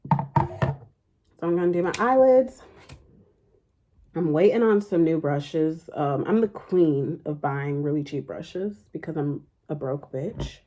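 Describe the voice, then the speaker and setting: A young adult woman chatting casually through a makeup tutorial in a fairly deep voice, rambling with lots of fillers. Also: animated, takes it slowly, long pauses, warm tone, lo-fi sound. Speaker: beauty vlogger.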